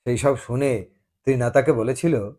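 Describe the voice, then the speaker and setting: A man's voice: mid-range voice, a little slow, warm tone. A man narrating a love story as a dramatised audiobook reading, telling it expressively.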